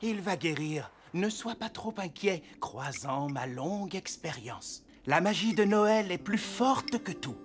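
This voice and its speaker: Cartoon casting: actor as seasoned North Pole elder, slow, comforting, lively intonation, music behind. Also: mid-range voice, close to an everyday voice. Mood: reassuring. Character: experienced, reassuring elder of Santa's North Pole. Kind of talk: French animated series voice acting.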